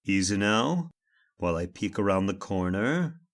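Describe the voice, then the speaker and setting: A man acts out a stubborn, commanding gentleman from an old-time radio horror play. His pitch swings widely as he gives impatient orders in formal, old-fashioned phrases. He sounds stern and fully in charge. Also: very animated, a little slow. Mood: determined. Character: Mr. Winton, stern, stubborn master leading a haunted-house search.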